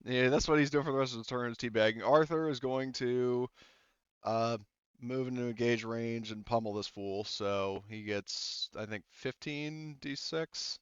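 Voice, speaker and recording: Man, game master, slow and halting, smooth low voice, dry dull recording. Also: dry recording. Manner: halting, many ums, counts dice aloud. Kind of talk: running a tabletop game, rolling dice.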